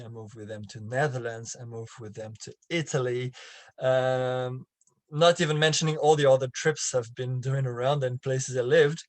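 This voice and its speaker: A middle-aged man from France with a French accent, explaining marketing through his own story and often pausing on fillers and restarts. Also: medium pitch, no room sound. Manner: halting, many fillers and restarts. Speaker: online marketing coach. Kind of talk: explaining with a personal example.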